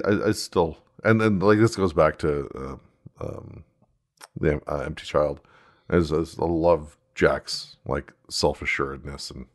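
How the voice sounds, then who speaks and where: A man chatting casually as a podcast host, starting thoughts haltingly and repeating words as he goes. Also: very deep voice, gravelly. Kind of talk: casual chat, TV episode commentary.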